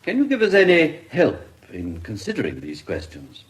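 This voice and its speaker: A man playing a courteous, formal English coroner presiding over an inquest in a radio drama, with measured courtroom diction. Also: fairly high pitch, wildly swooping pitch. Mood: courteous, probing.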